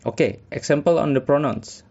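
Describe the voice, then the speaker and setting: Halting man presenting in class. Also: fairly high pitch, dark tone. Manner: halting, many fillers and restarts, reading notes. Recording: dull lo-fi recording.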